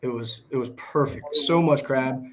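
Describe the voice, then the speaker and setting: A man in his twenties with an American accent and a hoarse voice, chatting casually as a guest. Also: medium pitch, normal speed, fairly bright tone, rough recording. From Pennsylvania, United States. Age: 20s. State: hoarse from shouting. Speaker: guest, small business worker. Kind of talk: casual chat. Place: video call.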